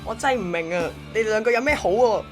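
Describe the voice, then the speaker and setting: A woman's voice: a little high, lively intonation, fast, over music, close to an everyday voice. A woman acting an obsessive, lovesick male courier in a radio drama, pleading and melodramatic, with a Hong Kong Cantonese accent. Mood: bitter, menacing.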